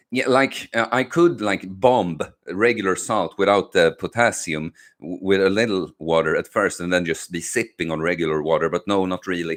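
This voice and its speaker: A young man with a fairly low voice hosts a casual livestream and reads out questions from his chat. He speaks English as a second language, with Swedish as his first, and answers loosely, with frequent fillers, false starts and repeated words. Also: fairly bright tone. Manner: halting, many fillers and restarts.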